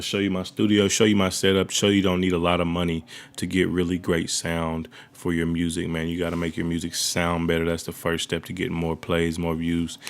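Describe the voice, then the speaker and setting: A young man with a bassy voice, giving a laid-back, slangy home-studio tutorial. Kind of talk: casual tutorial talk.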